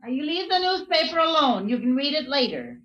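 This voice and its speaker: A woman's voice: high voice, big pitch swings, brisk, a bit bright, muffled recording. An actress playing a mother who scolds her son and calls him to breakfast, in a 1950s radio drama. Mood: scolding.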